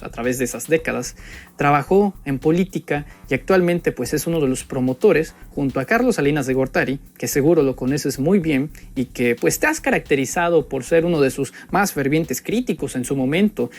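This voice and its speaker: A young man with a Mexican accent giving a pointed, sarcastic political monologue full of rhetorical jabs at an opponent. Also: on the high side. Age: young adult. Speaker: political commentator. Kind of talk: spoken opinion monologue addressed to an opponent. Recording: background music under the voice.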